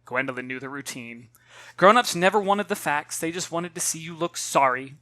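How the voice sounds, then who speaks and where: A man reading his own novel as an expressive audiobook, with dramatic, measured storytelling. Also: fairly high pitch, no room sound. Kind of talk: expressive scripted audiobook narration.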